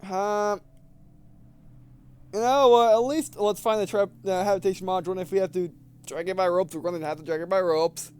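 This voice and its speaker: A man doing casual let's play commentary, reading game dialogue aloud and thinking out loud, often repeating and restarting his phrases. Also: sits high, steady tone, fairly quick, clear, resonant tone. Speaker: gameplay video host.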